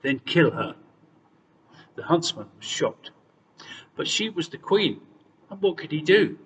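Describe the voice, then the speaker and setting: A man reading a fairy tale aloud as scripted, expressive storytelling. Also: on the high side, a little slow. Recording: distant mic, long reverb, faint noise.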